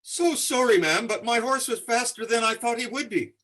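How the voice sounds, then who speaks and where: A man playing a polite, excitable, flustered jockey in a comic radio play. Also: very high voice, moderate pace, full character voice. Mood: apologetic. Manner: excitable, apologetic. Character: flustered, polite jockey thrown from his horse. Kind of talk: comic old-time radio play acting.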